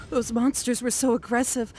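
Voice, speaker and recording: A woman's voice: a little high, fairly even intonation, unhurried, close to an everyday voice. A woman voicing a polite, apologetic scholar in a video game, formal and a little hesitant. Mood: apologetic, weary. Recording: faint background noise.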